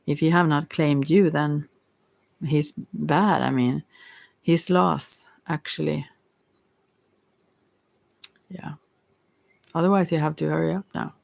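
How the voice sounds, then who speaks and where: Creaky-voiced woman reading tarot. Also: low voice, steady tone, slow, long pauses, a little mellow, dry recording. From Sweden. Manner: hesitant, rambling, often trailing off. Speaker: tarot reader, ASMR creator. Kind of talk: ASMR tarot reading, casual improvised chat.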